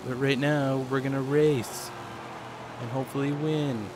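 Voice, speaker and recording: A man's voice: mid-range voice, fairly even intonation, a little slow. A young man with a scratchy throat from a cold, chatting casually with lots of fillers while he plays a racing game. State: hoarse from a cold. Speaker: gaming YouTuber. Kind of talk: casual let's play commentary. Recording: voice over loud game car noise.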